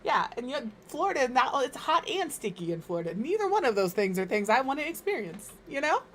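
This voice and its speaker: A woman from Minnesota streaming from home, chatting casually and rambling, her voice slightly hoarse and crackly as it recovers. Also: sits high, big pitch swings, a little fast. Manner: rambling, chatty, self-deprecating. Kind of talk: casual chat while gaming.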